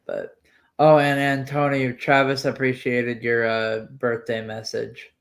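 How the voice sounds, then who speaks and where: A man in his late twenties speaks slowly on a livestream, his pitch barely moving. He hesitates often and lets sentences trail off. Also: completely flat delivery, gravelly. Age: 20s. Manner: hesitant, lots of fillers, trails off with "so". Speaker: livestream co-host. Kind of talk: casual livestream chat.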